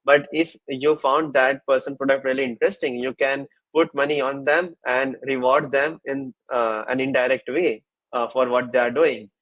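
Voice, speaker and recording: A male host in a relaxed, conversational interview. He speaks at a medium pitch and feels his way through each sentence, pausing often on filler words as he builds his point. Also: low-quality recording. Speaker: host of a developer livestream session. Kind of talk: casual interview chat.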